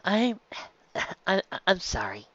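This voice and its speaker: Storybook female unicorn shopkeeper, acted by the narrator: kindly, gentle, halting, raised pitch, slightly dull sound. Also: sing-song, no echo. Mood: flustered, apologetic.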